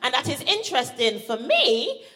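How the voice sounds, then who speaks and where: A woman from Barbados performing a live stand-up comedy set, punchy and playful, shouting out her punchlines. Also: sits high, very animated, moderate pace. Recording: live stage recording with audible background noise.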